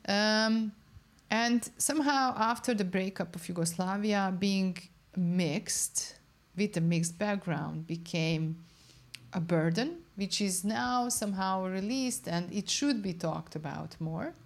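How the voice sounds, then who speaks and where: A woman's voice: medium pitch, slow. A young woman researcher in an interview, explaining her study's findings carefully and searching for words as she goes.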